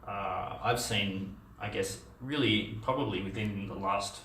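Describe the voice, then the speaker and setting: A man's voice: fairly low pitch, measured pace, fairly bright tone, slight background noise. A middle-aged man with an Australian accent gives a meetup talk in a casual, conversational way, full of fillers and restarts. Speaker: meetup speaker. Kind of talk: conference talk. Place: dim meetup room with a projector screen. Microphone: far camera. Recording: echoey room, distant mic.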